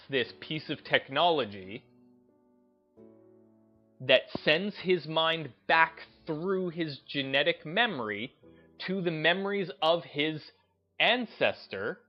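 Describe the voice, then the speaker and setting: Guy doing casual video game channel commentary, slow paced and emphatic, music in the background. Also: fairly high pitch, highs cut off. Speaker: video game channel host giving opinions.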